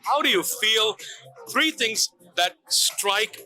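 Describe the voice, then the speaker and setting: A man's voice: sits high, lively intonation, takes it slowly, pushed and bright. A man hosting a talk show at a party, interviewing guests with effusive, repeated praise. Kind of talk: upbeat event interviews.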